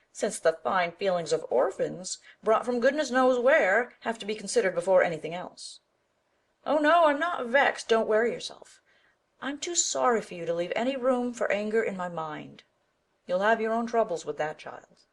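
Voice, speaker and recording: A woman's voice: medium pitch, lively intonation, moderate pace. Woman putting on a blunt, bossy older village woman. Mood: huffy. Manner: emphatic, indignant and preachy.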